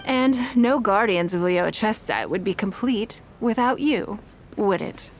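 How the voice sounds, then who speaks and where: A woman voicing an elegant, shrewd and courteous businesswoman in scripted video game dialogue, speaking in a poised, measured and articulate way. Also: medium pitch, sing-song, a little hiss or hum. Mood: thoughtful, proud. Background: background music.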